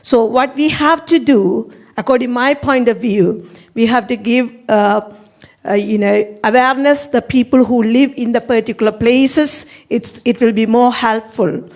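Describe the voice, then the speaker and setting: A woman's voice: fairly high pitch, moderate pace, darkish tone. A woman from Sri Lanka, a Tamil speaker, earnestly giving an audience comment at a panel Q&A and searching for words. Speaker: audience member.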